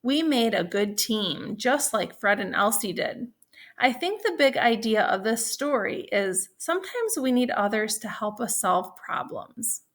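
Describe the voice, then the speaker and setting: A woman teaching young children, reading a story aloud and explaining it in a deliberate, instructional way. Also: fairly high pitch, sing-song, a bit bright.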